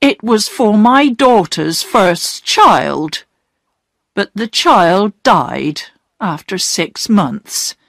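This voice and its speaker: A woman voicing a kind, older village shopkeeper who speaks gently and quietly. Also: medium pitch, no echo, clean studio sound, full character voice.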